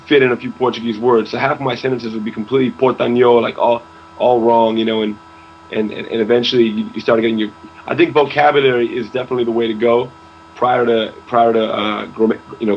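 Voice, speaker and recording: A man's voice: medium pitch, dull audio, a little hiss or hum. A young man, a rap artist, speaking casually as an interview guest, often restarting words and saying "you know".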